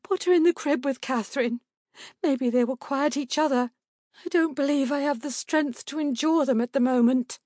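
A woman's voice: high voice, moderate pace, a little mellow, no room sound, clean studio sound, pitched above the performer's own voice, close to an everyday voice. A woman voicing an anxious, plaintive mother of five daughters in an audiobook. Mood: tearful, weary. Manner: plaintive, fretful.

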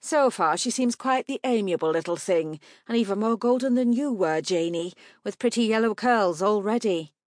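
Period housekeeper, acted by a female narrator: kindly, gentle, reassuring, soothing. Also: medium pitch, animated, moderate pace, few pauses, no echo, studio quality, voice pushed up, close to an everyday voice. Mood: fond.

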